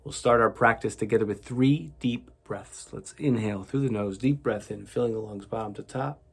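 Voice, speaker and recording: A man leading a guided meditation in a gentle, soothing, deliberate way, with a gravelly, husky voice. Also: lowish voice.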